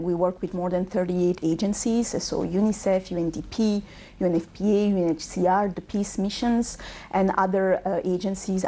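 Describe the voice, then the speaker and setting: A woman's voice: mid-range voice, warm tone. A woman from Bangladesh who grew up in Belgium, a UN regional manager answering interview questions fluently, with frequent ums and uhs. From Bangladesh, raised in Belgium.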